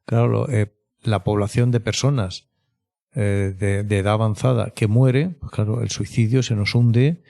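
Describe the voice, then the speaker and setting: Male interview guest. Low, dark timbre, reflective expert who pauses and adds tag questions. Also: steady tone, gravelly. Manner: hesitant, reflective, frequent question tags. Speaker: mental health expert guest. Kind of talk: explanatory podcast interview.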